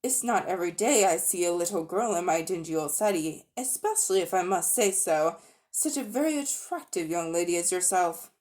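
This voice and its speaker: A cunning old magician uncle, smooth and coaxing with a hint of menace, as a woman reads him aloud. His pitch rises and falls with sly relish, and he sounds falsely friendly in a children's storybook. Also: expressive, moderate pace, a little mellow, natural voice.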